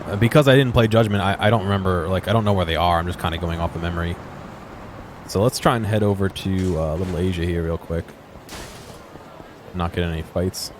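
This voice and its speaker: Low-voiced man musing over gameplay, some noise. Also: fairly even intonation, brisk. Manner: musing aloud, asking himself questions. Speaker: video game streamer and commentator. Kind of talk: casual unscripted gameplay exploration commentary.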